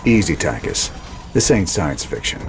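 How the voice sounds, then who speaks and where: An actor playing a weary, resolute rebel commander in a video game, plain-spoken and folksy with a Southern American accent. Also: male voice, sits low, very animated, normal speed, music in the background. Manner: laconic, folksy. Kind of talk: video game cutscene acting.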